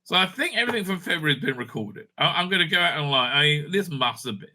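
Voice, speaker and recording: A man's voice: fairly high pitch, lively intonation, fast talker, pushed and bright. A man giving casual, unscripted commentary as host of a fan news show, rambling and thinking aloud in a blunt, sweary way. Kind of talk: casual unscripted commentary while browsing a screen.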